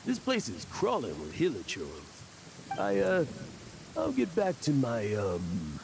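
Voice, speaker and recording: A stammering braggart adventurer. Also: male voice, mid-range voice, huge pitch swings, slow pace, background noise, heavily acted. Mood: nervous. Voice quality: gravelly, stammering. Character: nervous boastful male adventurer. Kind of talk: video game dialogue.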